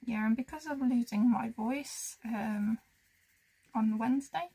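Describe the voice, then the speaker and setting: A soft-spoken woman chatting casually as she colours, musing to herself and often dropping into a whisper. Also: a little high, a little slow, husky, rough recording. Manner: talks to herself as she works. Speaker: colouring channel host. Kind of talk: casual narration while colouring.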